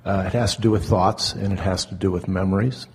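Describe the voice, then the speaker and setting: A man in the audience of a live seminar, asking an unscripted question in a careful, tentative, halting way. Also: deep voice, steady tone, darkish tone, slightly rough.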